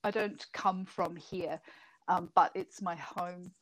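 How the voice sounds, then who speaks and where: A woman's voice: lowish voice, steady tone, takes it slowly, fairly bright tone, rough recording. A middle-aged British woman, a former lawmaker, talking as an interview guest in a chatty, rambling way and laughing easily. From Britain. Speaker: guest, former member of parliament. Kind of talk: casual interview chat.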